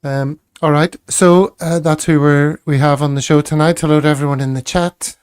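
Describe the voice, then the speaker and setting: A man's voice: medium pitch, a little mellow, clean tone, dry recording, studio quality. A man hosting a casual football podcast, upbeat and chatty as he greets guests and reads out comments. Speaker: podcast host.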